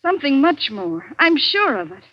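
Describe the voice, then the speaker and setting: High-voiced worried niece, old radio. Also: female voice, big pitch swings, moderate pace, no room sound. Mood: worried, puzzled. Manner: earnest, dramatic, anxious. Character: worried, stubborn, devoted young married niece. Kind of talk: 1940s radio suspense acting with narration. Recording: muffled, noisy old radio recording.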